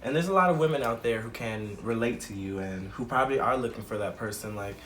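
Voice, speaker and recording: A man in his mid twenties hosting a casual chat, animated and slangy, hyping up the listener. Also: mid-range voice, quick pace, slight background noise. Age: 20s. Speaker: host.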